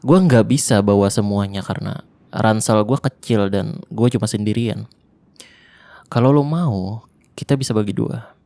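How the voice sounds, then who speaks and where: A man voicing a lonely young male survivor of a zombie apocalypse in an ASMR roleplay, talking softly and intimately in casual Jakarta slang, close to the microphone. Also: fairly low pitch, normal speed, pauses often, no echo, natural voice. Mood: hushed. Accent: Jakarta colloquial Indonesian.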